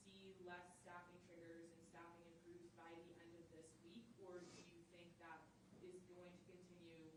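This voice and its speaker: Flat, low-voiced woman, very echoey. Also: moderate pace. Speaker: TV news reporter. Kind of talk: unscripted press conference question. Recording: distant, echoey, noisy room pickup.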